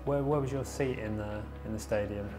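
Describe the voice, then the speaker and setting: A man's voice: on the low side, brisk. A man working as a club media interviewer, putting brief, friendly questions to young players. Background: background music.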